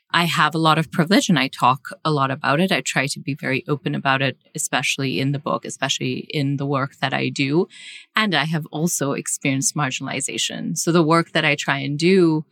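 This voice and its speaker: Low-voiced woman, emphatic and passionate. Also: a bit bright, no echo, clean studio sound. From Singapore. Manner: passionate, emphatic, with many fillers and restarts. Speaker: author and guest. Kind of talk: podcast interview.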